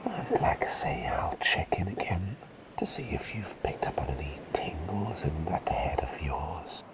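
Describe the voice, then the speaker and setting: A man playing a gentle, forgetful, whimsical plague doctor in a soft ASMR roleplay, speaking in a breathy whisper with polite, hesitant rambling. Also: sits low, very animated, a little slow. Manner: rambling, polite, hesitant, repeats phrases softly.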